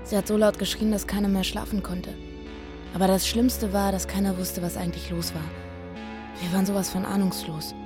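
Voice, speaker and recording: A woman's voice: medium pitch, flat delivery, fairly quick, over music, natural voice. An actress playing a shaken young woman who recounts past events confessionally in a radio drama. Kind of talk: interview-like radio drama narration.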